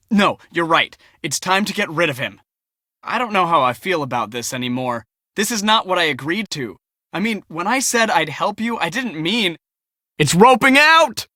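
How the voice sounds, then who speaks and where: A young female storm chaser for a video game. Her voice is bright and very animated, recorded dry and close. She is excitable, impatient and bossy, punching her words. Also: medium pitch, no room sound. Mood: uneasy, reluctant. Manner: brash, bossy, emphatic.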